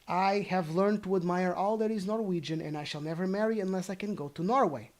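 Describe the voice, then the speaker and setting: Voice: mid-range voice, brisk, close to an everyday voice. A storybook reader voicing an earnest, prim young elfin maiden. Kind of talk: storybook character voice.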